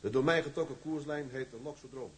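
An actor as a very husky young sailor. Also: male voice, mid-range voice, fast talker, natural voice. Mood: reciting. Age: young adult. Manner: respectful, polite. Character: young male sailor, earnest and restless. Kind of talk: radio drama acting.

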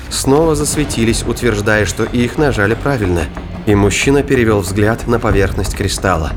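Guy narrating a sci-fi thriller audio drama, lowish voice, music loud underneath. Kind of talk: scripted dramatic fiction narration.

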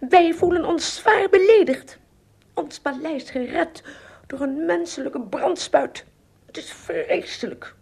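A woman's voice: very high voice, animated, slow pace, gravelly, a little mellow, full character voice. A woman playing a pompous, easily offended tiny king in a radio play, with a regal, indignant manner.

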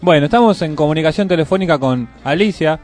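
A man's voice: a little high, quick pace, a little mellow, faint music behind. A man with an Argentine accent hosting and interviewing on the radio in a conversational style. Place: radio studio.

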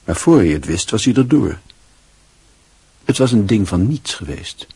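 An actor playing a dry, wry literary narrator in a radio play, with a husky voice. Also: male voice, lowish voice, very animated, measured pace, mellow, no room sound, exaggerated voice. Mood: wry, reflective.

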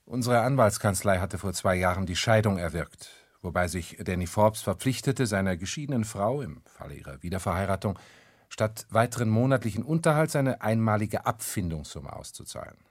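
An actor playing a formal, guarded lawyer giving precise, clipped answers in a radio crime play. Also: male voice, fairly low pitch, expressive, a little fast, dry recording, studio quality. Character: guarded, formal male lawyer, junior partner of a firm.

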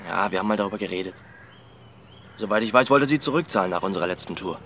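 An actor playing a brash, shifty young trucker in a German radio crime drama, talking brusquely in pushy slang. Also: male voice, sits low, animated, quick pace, fairly bright tone, slight background noise. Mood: evasive. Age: young adult.

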